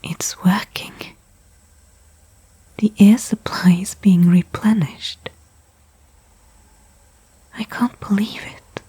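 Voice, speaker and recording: A woman playing a weary stranded astronaut in a whispered ASMR sci-fi roleplay, breathy and hushed, thinking aloud with pauses between thoughts. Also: mid-range voice, steady tone, no room sound. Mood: relieved. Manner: slow, soliloquizing, pausing between thoughts. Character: weary stranded female astronaut, anxious, faintly hopeful.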